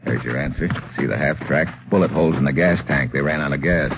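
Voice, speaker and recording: An actor playing a tough, wisecracking American government agent, narrating and talking in a wry, clipped hard-boiled radio adventure style. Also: male voice, a bass voice, fast talker. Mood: hushed, urgent. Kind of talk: old-time radio adventure acting and narration. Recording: muffled old radio recording, music, noise.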